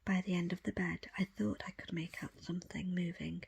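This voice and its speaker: A woman whispers a story in an ASMR style, her voice almost entirely breath with very little tone. She reads in the first person as an audiobook reader, hushed and measured, keeping the low-set delivery soft throughout. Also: flat delivery, creaky, breathy edge.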